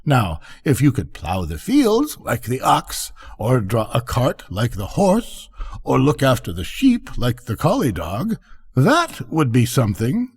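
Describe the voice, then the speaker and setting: A man's voice: medium pitch, wildly swooping pitch, measured pace, breathy edge, full character voice. A man voicing a mild, prim, peaceable female duck in a bedtime story. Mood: mild, unimpressed. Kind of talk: storybook character reading.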